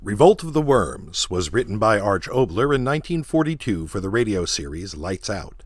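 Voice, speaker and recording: A man's voice: lowish voice, sing-song, moderate pace. A man working as an announcer, reading scripted credits in the style of radio narration.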